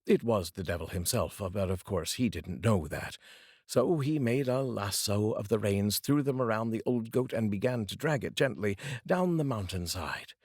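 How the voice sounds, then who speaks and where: A man's voice: lowish voice, lively intonation, moderate pace, darkish tone, breathy edge, no echo, studio quality. A man narrating a folk tale as a storyteller, reading aloud expressively.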